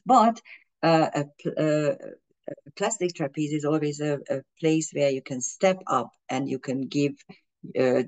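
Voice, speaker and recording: A woman's voice: extremely low pitch, a little mellow. Fumbling woman astrologer. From Hungary. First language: Hungarian and German. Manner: fumbling, with frequent restarts and fillers. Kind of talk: explaining an astrology chart in a video.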